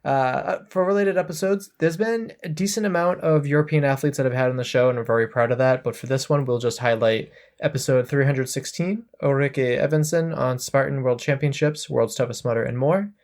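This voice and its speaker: A man's voice: medium pitch, a little fast, hardly any pauses, a little mellow. A man hosting a podcast, chatting casually in an easygoing, upbeat way. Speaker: podcast host. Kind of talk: casual podcast chat and outro.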